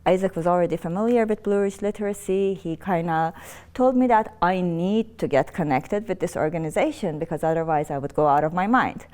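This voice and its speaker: Woman, slightly low voice, interview guest. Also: brisk, darkish tone. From Iran. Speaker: interview guest, nonprofit executive director. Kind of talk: conversational interview answers, personal storytelling.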